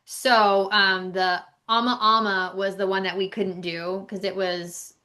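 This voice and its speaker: A woman with a very bright, forward voice at a medium pitch talks casually as a podcast co-host. She is chatty and informal, reviewing places with plain opinions in an everyday conversational style. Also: flat delivery, a little slow. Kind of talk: casual podcast chat about travel.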